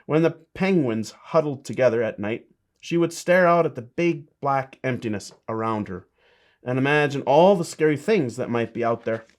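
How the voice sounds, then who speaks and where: A man's voice: mid-range voice, animated, moderate pace. A man, a bishop, reading a children's storybook and chatting to kids with simple, encouraging asides.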